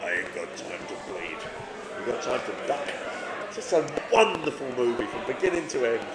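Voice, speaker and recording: Male guest, emphatic film-loving storyteller, lively intonation, echoey room with heavy crowd din. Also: mid-range voice, moderate pace. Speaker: podcast guest. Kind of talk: animated casual chat about favourite films. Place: busy pub, indoors.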